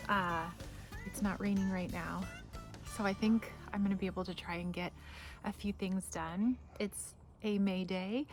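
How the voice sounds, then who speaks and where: A woman's voice: mid-range voice, steady tone, measured pace, soft background music. A woman hosting a casual garden vlog, chatting in a rambling, enthusiastic, gushing way as she walks around outdoors. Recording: noisy outdoor ambience.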